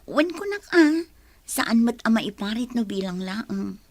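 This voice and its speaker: High-voiced lovesick, plaintive woman. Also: big pitch swings, a little slow, dry recording, heavily acted. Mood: defensive. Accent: Ilocano. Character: lovesick, heartbroken, naive woman clinging to a man. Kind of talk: Ilocano radio drama acting.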